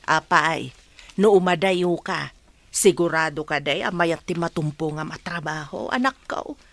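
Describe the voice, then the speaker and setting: An actress playing a gentle, protective village mother in a radio drama. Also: deep voice, measured pace, creaky, no echo, exaggerated voice. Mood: tender, worried.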